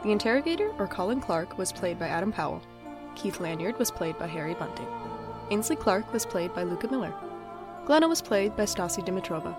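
A woman announcer reads scripted credits. Her voice is of medium pitch, with music clearly playing behind her. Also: sing-song, fairly quick. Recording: prominent music under the voice.

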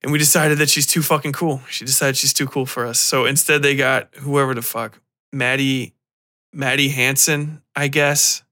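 Bright, forceful man ranting casually. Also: medium pitch, level tone, normal speed. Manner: profane, exasperated, sarcastic. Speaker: podcast host. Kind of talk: casual rambling film commentary.

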